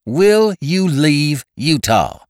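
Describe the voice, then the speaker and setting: Audiobook part: male reader doing a fanatical elder, harsh and forceful, pitched up. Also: sing-song, drawn-out words, no echo, clean studio sound, exaggerated voice. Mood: stern. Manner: raised, forceful, commanding delivery.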